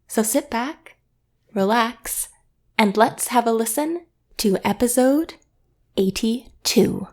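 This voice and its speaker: A woman's voice: on the high side, takes it slowly, darkish tone. A woman giving a scripted podcast introduction as the show's host.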